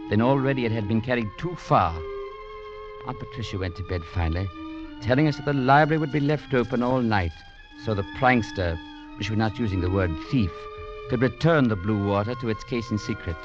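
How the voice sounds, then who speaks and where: A muffled 1940s radio recording with faint music behind. An actor plays an earnest young man, telling his story in a low, measured, serious voice. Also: lively intonation, natural voice. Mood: grave, reflective. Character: earnest, gallant young English gentleman turned legionnaire, narrating. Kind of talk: 1940s radio drama narration.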